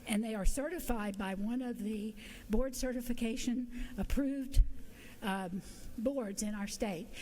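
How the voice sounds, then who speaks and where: A woman legislator explaining a bill on the chamber floor, loosely phrased and conversational. Her voice is warm and mellow, slightly high, with faint noise behind it. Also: low-quality recording. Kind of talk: floor speech.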